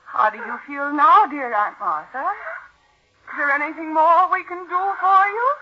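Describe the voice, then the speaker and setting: Cold, high-voiced scheming murderess. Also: female voice, big pitch swings, unhurried. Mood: ruthless, gloating. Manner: commanding, contemptuous, coolly theatrical. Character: cold, scornful, scheming niece plotting murder for inheritance. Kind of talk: 1940s radio suspense acting. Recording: muffled vintage radio recording.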